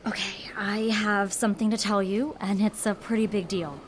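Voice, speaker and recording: Young woman played by a female actor, sincere yet dryly witty, gentle delivery, mid-range voice, faint noise behind. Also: medium pitch, fairly even intonation, moderate pace, close to an everyday voice. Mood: careful, serious. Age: young adult. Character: earnest, dry-witted young woman, marine science student. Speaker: actor in an audio drama. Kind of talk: naturalistic audio drama dialogue.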